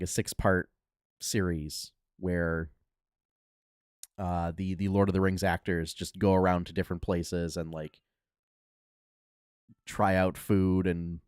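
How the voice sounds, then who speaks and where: A young adult man hosting a casual gaming news podcast, talking in a relaxed way with lots of fillers, a little nasal from a cold. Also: deep voice, no echo, clean studio sound. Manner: laid-back, many fillers and restarts. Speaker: podcast host.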